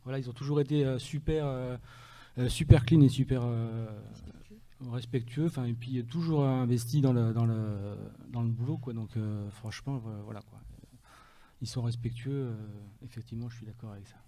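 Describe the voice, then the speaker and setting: A man's voice: medium pitch, normal speed, warm tone. A man talking about the making of a web series on a radio interview, hesitant and full of restarts. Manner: hesitant, many fillers and restarts.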